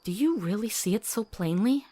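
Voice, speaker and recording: A woman playing a compassionate, sharp-tongued companion to a monster hunter in a fantasy visual novel, earnest and articulate. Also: medium pitch, lively intonation, normal speed, close to an everyday voice. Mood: troubled, pleading.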